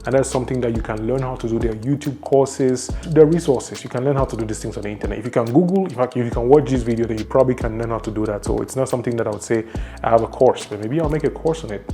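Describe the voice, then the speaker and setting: Fast-talking Cameroonian man. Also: medium pitch, warm tone, soft background music. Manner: enthusiastic, conversational, lists numbered tips. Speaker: YouTuber. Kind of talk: advice vlog.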